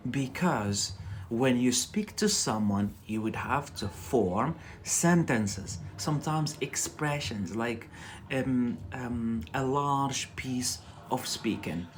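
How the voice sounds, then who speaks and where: An English teacher hosting a casual live lesson, speaking haltingly and repeating words as drills while chatting with viewers. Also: mid-range voice, expressive, slight background noise. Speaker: English teacher, livestream host.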